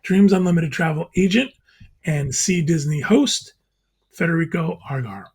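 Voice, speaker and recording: A man hosting a casual video panel chat, introducing guests and asking questions in a friendly, steady way. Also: on the high side, normal speed, breathy edge. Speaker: podcast host.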